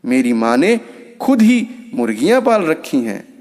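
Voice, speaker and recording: A man's voice: fairly high pitch, big pitch swings, measured pace, a little mellow, big-room sound. A man voicing a sly female cat who talks in a sugary, coaxing way to win over her employer in a storybook tale. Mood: sly, persuasive. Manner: sugary, coaxing, smooth-talking. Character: sly sweet-talking female cat posing as a maid.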